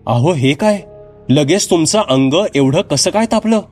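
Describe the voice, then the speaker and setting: A man's voice: fairly high pitch, animated, unhurried, warm tone, soft background music, pitched above the performer's own voice. A man voicing a caring husband and father with a soothing, concerned manner in a told story.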